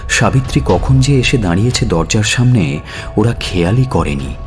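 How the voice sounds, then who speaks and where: A man's voice: on the low side, animated, moderate pace, a little mellow. A man reading a scripted audio story as a dramatic narrator. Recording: music under the voice. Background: background music.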